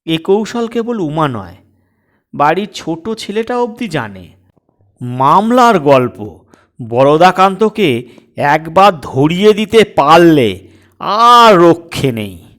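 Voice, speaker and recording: Slow, expressive high-voiced male storyteller. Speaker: story narrator and reader. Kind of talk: dramatized comic storytelling.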